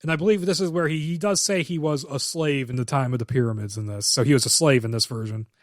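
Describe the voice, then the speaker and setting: A man's voice: medium pitch, quick pace, dry recording, clean studio sound. A man co-hosting a podcast, recapping a film script plot in detail and giving blunt, opinionated takes with casual swearing. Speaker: podcast co-host.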